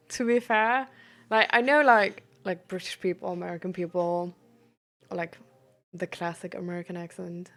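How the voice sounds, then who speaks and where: A young woman chatting casually on a live stream, full of fillers and quick reactions to chat. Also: medium pitch, fairly bright tone. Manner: chatty, filler-heavy, reacts aloud to chat with surprise and laughter. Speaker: streamer and peer support coach.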